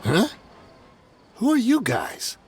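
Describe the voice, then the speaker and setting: Shifty, wildly animated mercenary smuggler. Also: male voice, high-pitched, slow pace, slight background noise, full character voice. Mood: furtive, startled. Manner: evasive, bargaining, placating. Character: shifty corrupt male mercenary guard, nervous, wheedling smuggler. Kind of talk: video game voice acting. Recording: music behind the voice. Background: game music.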